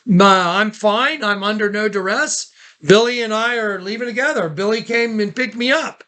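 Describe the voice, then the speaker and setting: A man with a high, bright voice speaks on a recorded phone call. He is casual in wording but urgent and insistent, repeating himself as he directs the others. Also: moderate pace.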